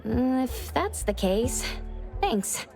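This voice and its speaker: Earnest young investigator over music. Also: female voice, fairly high pitch, big pitch swings, takes it slowly, gravelly, a little mellow, heavily acted. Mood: surprised, grateful. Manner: polite, pensive, hesitant. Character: earnest, polite, thoughtful young female investigator. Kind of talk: video game voice acting.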